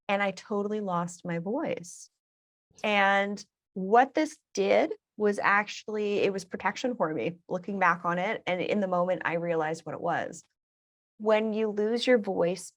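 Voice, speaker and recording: A woman speaking as a guest in a podcast conversation, talking in a reflective way and offering advice to listeners. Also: medium pitch. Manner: reflective and advice-giving, with soft laughs between points. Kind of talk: casual conversational interview.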